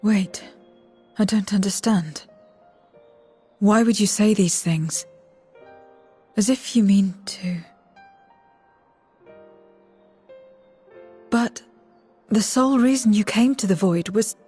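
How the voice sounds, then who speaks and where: Husky, guarded game mercenary. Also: female voice, mid-range voice, takes it slowly, long pauses, warm tone, soft background music. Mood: baffled, wary. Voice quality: husky, breathy. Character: guarded, mercenary otherworldly woman, shrewd and blunt. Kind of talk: video game cutscene acting.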